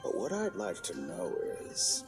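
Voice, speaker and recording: A man voicing an arrogant, calculating villain scholar in a video game, speaking in a measured, condescending, theatrical way. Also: low-pitched, huge pitch swings, heavily acted. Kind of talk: dramatic video game voice acting. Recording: music under the voice.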